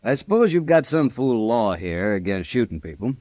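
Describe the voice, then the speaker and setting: An actor playing a proud, wealthy Old West gambler, self-assured and plainspoken, in an old-time radio western. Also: male voice, medium pitch, big pitch swings, normal speed, darkish tone, dry recording, lightly acted. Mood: indignant, determined. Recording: dull vintage radio recording.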